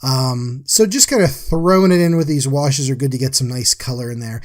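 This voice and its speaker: A man's voice: mid-range voice, moderate pace. A man giving a casual, chatty voice-over as an artist recapping a drawing, talking informally to viewers. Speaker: artist and livestream host.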